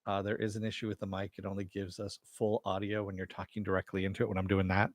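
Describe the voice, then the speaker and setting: A man's voice: sits low, completely flat delivery, fast, no room sound. A man hosting a casual live-streamed recap of a TV episode, rambling and chatting with his viewers at home. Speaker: show host reviewing a TV episode. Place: home room. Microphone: headset mic with headphones.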